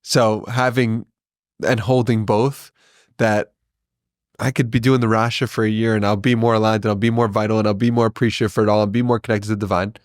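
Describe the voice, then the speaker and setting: Casting: man, lowish voice, thoughtful and affirming, dry close sound. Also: flat delivery, fairly quick, no echo. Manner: thoughtful, affirming, laughs easily. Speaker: podcast guest. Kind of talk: casual spiritual conversation.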